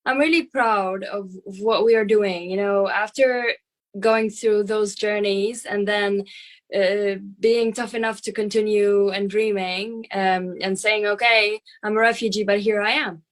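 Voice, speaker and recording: A young woman answering interview questions casually, pausing often on "uh" and "like". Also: medium pitch, steady tone. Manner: hesitant, with many "uh" and "like" fillers. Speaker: athlete guest.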